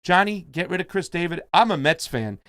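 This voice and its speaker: A man's voice: sits high, lively intonation, a little fast, a bit bright, clear, resonant tone, dry recording, studio quality. A man hosting a live political commentary stream, chatting casually and reading out viewer comments. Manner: chatty, reads viewer chat aloud. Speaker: host.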